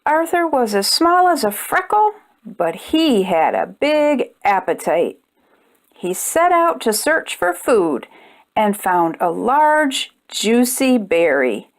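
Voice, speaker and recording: A woman's voice: a little high, sing-song, takes it slowly. A woman hosting a children's storytime, reading aloud expressively and speaking directly to young listeners. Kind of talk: children's read-aloud narration.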